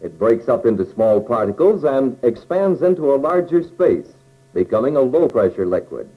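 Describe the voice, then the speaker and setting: An American man narrates an instructional film in a mellow, relaxed voice at a middle pitch. His intonation moves up and down in a lively way as he explains things step by step in plain, measured phrases. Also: low-quality recording.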